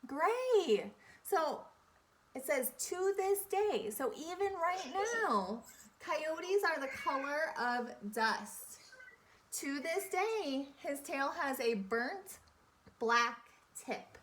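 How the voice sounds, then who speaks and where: A woman speaking as an elementary teacher, leading an interactive read-aloud for young children in a deliberate, instructive way, repeating key phrases and questions. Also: sits high, animated, fairly bright tone.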